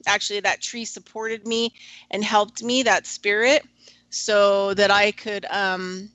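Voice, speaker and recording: Slow, bright-voiced woman radio host. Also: mid-range voice, no echo. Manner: slow, hesitant, many filler pauses. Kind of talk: reflective radio conversation.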